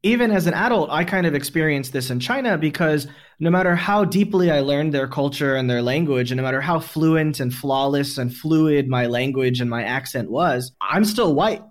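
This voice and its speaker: A man's voice: on the high side, expressive, moderate pace, few pauses. A man hosting a casual podcast conversation, explaining his thoughts in a reflective way. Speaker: podcast host.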